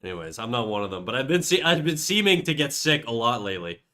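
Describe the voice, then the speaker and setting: Young man, stuffy nose, nasal, casual swearing chat, bright tone. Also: medium pitch, big pitch swings, quick pace. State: congested, nasal from a cold. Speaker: streamer. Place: home room.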